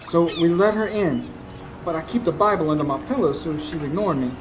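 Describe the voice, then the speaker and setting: An actor playing an earnest, scheming Southern husband in a radio drama, with a folksy, confiding way of talking. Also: male voice, a little high, brisk, lightly acted, poor audio. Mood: urgent, scheming. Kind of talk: radio drama acting with first-person narration. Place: stage before a live studio audience. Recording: live radio show with clear background noise.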